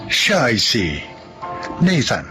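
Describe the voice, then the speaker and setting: Animated male radio drama narrator. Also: mid-range voice, slow, over loud music. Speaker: host and narrator.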